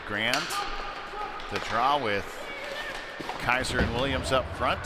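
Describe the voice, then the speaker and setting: Guy doing hockey play by play, medium pitch, folksy and informal, really noisy arena behind him. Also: slow. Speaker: play-by-play sports commentator. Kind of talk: live youth ice hockey play-by-play commentary. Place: indoor ice rink, live stream. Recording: loud rink noise behind the voice. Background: loud arena ambience, puck and board slams.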